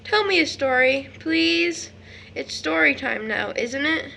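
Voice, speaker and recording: A high, bright little boy, with a creaky edge. He is sweet, earnest and eager, recorded for an audio drama with some background noise. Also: lively intonation, slow, heavily acted.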